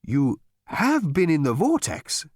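A man voicing an astonished disembodied male mind that stammers out halting questions, in a dry audiobook reading. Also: on the high side, wildly swooping pitch, dry recording, pitched above the performer's own voice, full character voice. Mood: amazed.

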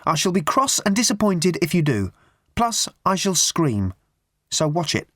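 A man's voice: sits high, sing-song, unhurried, sharp tone, dry recording, pitched above the performer's own voice, heavily acted. A man voicing a wry young woman who speaks in a dry, playful but firm way. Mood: teasing.

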